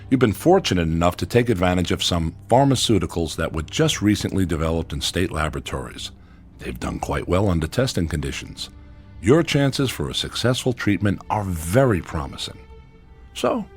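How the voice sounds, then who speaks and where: Hospital doctor, man, low-pitched, expressive range, formal, authoritative, careful, radio drama. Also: normal speed, breathy edge, soft background music, heavily acted. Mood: measured, reassuring.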